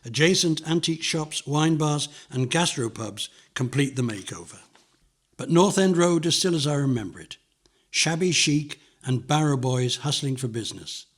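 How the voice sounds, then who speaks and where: An older man reads a nostalgic memoir as scripted narration, in measured, descriptive phrases. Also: on the high side, animated. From London, England.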